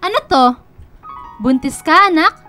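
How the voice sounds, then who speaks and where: A woman's voice: sits high, animated, unhurried, pushed and bright, full character voice. A woman playing a protective, loving, humble mother in a radio drama. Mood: shocked, pleading. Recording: music bed behind the voice.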